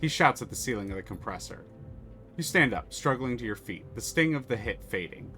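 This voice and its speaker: A man's voice: medium pitch, lively intonation, a little fast, bright, forceful tone, faint music behind. A man streaming a story game, reading its text aloud and chatting casually, swearing freely.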